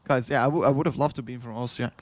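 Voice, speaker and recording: A man's voice: mid-range voice, fairly even intonation, fast, no echo. Dutch man rambling on a livestream. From Netherlands. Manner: rambling, repeats himself, chuckles often, reads chat aloud. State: tired after hours of streaming. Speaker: crypto livestream host. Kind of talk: casual livestream chat.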